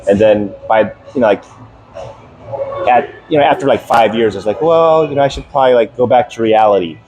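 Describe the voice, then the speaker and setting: A middle-aged man from the United States, a guest reminiscing in relaxed, rambling conversation and laughing easily. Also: medium pitch, brisk, background noise. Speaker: interview guest.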